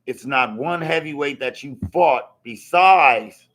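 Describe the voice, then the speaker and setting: A man with a hoarse voice from yelling, ranting bluntly to camera about a boxing match and swearing freely. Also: a little high, takes it slowly. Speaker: vlogger reacting to a boxing match. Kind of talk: casual rant to camera.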